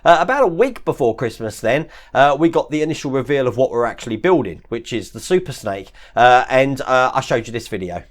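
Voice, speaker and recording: A man hosting his model-building channel, chatting casually through an unboxing, hoarse from a chest infection and full of fillers and little laughs. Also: mid-range voice, very animated, a little fast, few pauses. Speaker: model-kit channel host.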